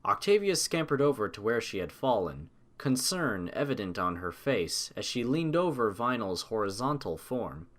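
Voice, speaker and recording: Animated story narrator with lively intonation. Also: mid-range voice, normal speed, few pauses.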